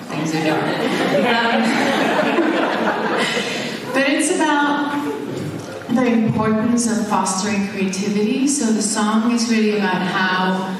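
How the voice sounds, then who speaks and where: Slow-spoken Finnish woman in an echoey hall. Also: medium pitch, background noise. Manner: unhurried, hesitant with frequent ums and restarts. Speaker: singer and faculty performer. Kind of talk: introducing songs from a concert stage. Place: concert hall stage. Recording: echoey concert hall from far off, with music.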